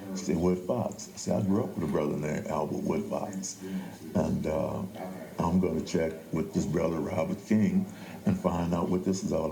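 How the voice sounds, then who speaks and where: Very deep older New man, echoey. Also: vocal fry. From New Orleans, Louisiana. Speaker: playwright being interviewed. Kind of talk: conversational interview storytelling. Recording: echoey room with crowd murmur.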